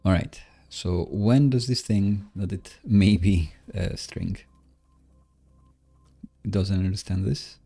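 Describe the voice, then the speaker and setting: A man casually commentating as he codes live, musing and questioning himself in a halting way. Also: low voice, steady tone, creaky, mellow. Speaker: live-streaming programmer host. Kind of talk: casual live coding commentary, thinking aloud.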